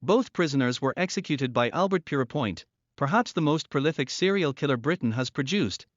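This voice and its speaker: A man's voice: fairly high pitch, lively intonation, fairly quick, no room sound, dull audio. A man reading scripted narration as an announcer.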